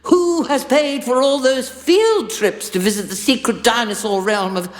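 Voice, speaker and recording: An actress playing a haughty, condescending chancellor with a pompous, theatrical delivery. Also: female voice, on the high side, unhurried, lightly acted. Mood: exasperated, grandiose. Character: haughty, imperious female chancellor, fussy and condescending. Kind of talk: audio drama acting. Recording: echoey hall with long reverb.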